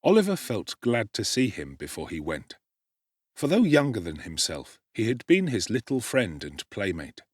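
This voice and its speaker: A man narrates a classic novel in a theatrical, expressive style. His voice sits low but swings widely in pitch. He was recorded on a close studio mic in a dry, dead room. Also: normal speed, no echo. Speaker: audiobook narrator. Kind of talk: dramatic audiobook reading.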